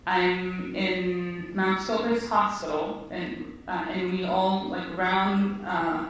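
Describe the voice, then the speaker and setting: A woman's voice: lowish voice, steady tone, slow pace. A woman physician, telling her story earnestly and reflectively in a conversation. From Israel. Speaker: physician guest. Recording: echoey room, distant mic, faint noise.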